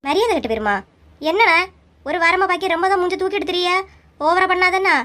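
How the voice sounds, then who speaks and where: A woman puts on a feisty village woman for cartoon comedy. Her voice is very high, her manner sarcastic and quick to take offence, her accent Kanyakumari Tamil. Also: bright, forceful tone. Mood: angry, sarcastic. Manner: scolding, sarcastic.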